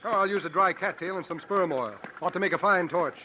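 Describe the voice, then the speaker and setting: A male actor as a confident travelling strongman, protective and unhurried. His fairly high male voice carries a courtly reassurance. It comes through a muffled old radio broadcast with light noise. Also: natural voice. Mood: easy confidence. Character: bold strongman showman, calm protective mentor. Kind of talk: 1950s radio comedy-drama acting. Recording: dull, noisy old radio broadcast, clicks.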